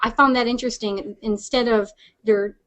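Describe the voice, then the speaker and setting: A woman's voice: on the high side, steady tone, moderate pace, a bit bright. A woman hosting a podcast in casual conversation, rambling and inquisitive, rephrasing her questions as she talks. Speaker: podcast host. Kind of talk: casual interview chat.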